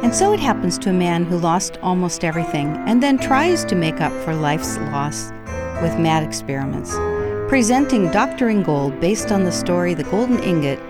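A woman's voice: lowish voice, very animated, normal speed. A woman announcer introducing a radio play in a dramatic, scripted style. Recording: loud music behind the voice.

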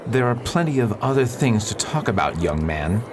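A man's voice: medium pitch, big pitch swings, normal speed, lightly acted. Man acting a troubled, restrained preacher over heavy noise. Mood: stern, restrained. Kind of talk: period stage-style radio drama acting. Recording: noisy, rain-like background hiss.

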